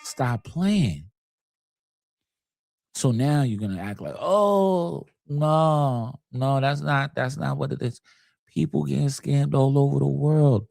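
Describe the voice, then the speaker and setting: Gravelly New man talking emphatically. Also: medium pitch, takes it slowly, a little mellow, dry recording. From United States. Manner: emphatic, repetitive, slangy. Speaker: commentator. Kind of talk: casual commentary.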